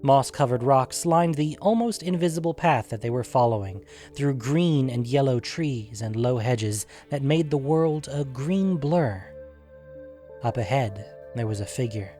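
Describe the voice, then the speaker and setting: A man reading a scripted fantasy story as narrator, in a measured storytelling manner. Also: medium pitch, expressive, warm tone, soft background music.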